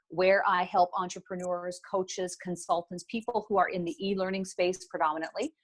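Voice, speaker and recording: A middle-aged woman from Northern Ontario, with French as her first language, answering interview questions in the articulate, polished manner of a former broadcaster. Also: lowish voice. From Northern Ontario, Canada. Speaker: guest, business owner.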